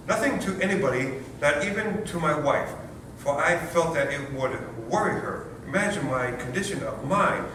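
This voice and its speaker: A man playing an anxious, indignant new father in a stage comedy, with a pompous, florid, self-pitying delivery. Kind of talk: stage comedy readers theater. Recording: roomy, distant, noisy hall recording with rumble.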